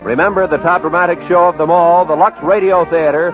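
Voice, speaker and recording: Higher-pitched vintage American radio announcer man. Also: steady tone, a little fast. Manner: formal, polished. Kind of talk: 1950s radio announcing, scripted. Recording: muffled vintage radio recording, music behind.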